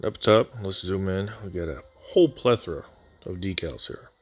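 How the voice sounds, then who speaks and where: A man's voice: sits low, lively intonation, moderate pace. A man narrating an unboxing voice-over of a scale model kit in a casual way with dry humor, his voice a little worn after nearly losing it. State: recovering from near voice loss.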